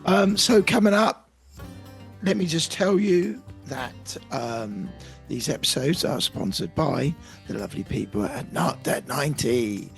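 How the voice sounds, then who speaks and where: A man co-hosting a podcast, hoarse from a cold, talking in a jokey, self-deprecating way with plenty of restarts. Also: a little high, lively intonation, measured pace, faint music behind. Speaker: podcast co-host. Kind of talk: casual banter.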